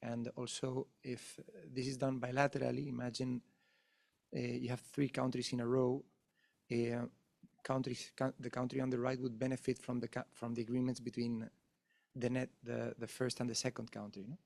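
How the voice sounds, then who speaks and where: A man moderating a conference panel, halting with restarts and tag questions. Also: medium pitch, completely flat delivery, creaky, darkish tone. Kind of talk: panel discussion.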